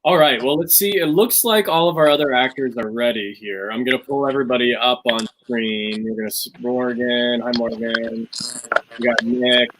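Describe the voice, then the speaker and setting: A man's voice: mid-range voice, normal speed, noisy background. A man hosting an online theatre fundraiser over a video call, talking casually to viewers and guests. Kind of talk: casual livestream hosting chat. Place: remote online stream.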